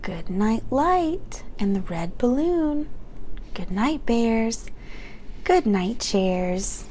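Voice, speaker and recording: A young woman reads a bedtime picture book aloud in a gentle, sing-song storytime manner. Her voice sits fairly high, and she takes her time over every line. A faint hum of room noise sits behind her. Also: very animated, extremely slow. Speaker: children's book read-aloud host.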